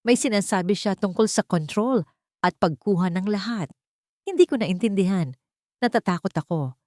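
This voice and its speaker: A woman's voice: medium pitch, expressive, normal speed, darkish tone, no room sound, close to an everyday voice. A woman narrator plays a frightened young Filipino woman confiding in her diary. She sounds earnest and uneasy, in the style of an audiobook drama. The recording is close and dry. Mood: fearful. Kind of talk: dramatic journal reading in audiobook.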